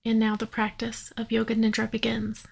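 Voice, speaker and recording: A woman leading a guided yoga nidra meditation in a soothing, unhurried manner, leaving long pauses. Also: on the high side, completely flat delivery, creaky, slightly rough.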